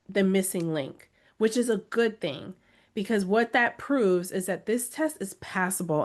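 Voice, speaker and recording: A woman coaching test-takers on a live video webinar, reading comments aloud and urging them on with emphatic repetition. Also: medium pitch. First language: English. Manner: encouraging, pleading, emphatic repetition.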